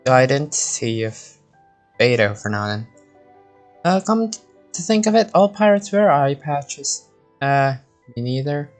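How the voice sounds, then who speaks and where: Lady streamer with a low voice, takes her time, swings around a lot, game music faint behind. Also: lively intonation, a little slow, long pauses. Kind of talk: casual reactions and reading game text aloud.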